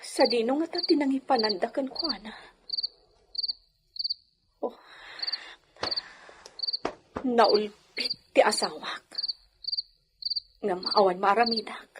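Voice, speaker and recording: Woman acting anxious young wife, very high voice, plaintive sighs, audio drama, noisy insect-like background. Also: animated, unhurried, pauses often. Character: young married lady of the house, plaintive, anxious. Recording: noisy track with clicks and insects.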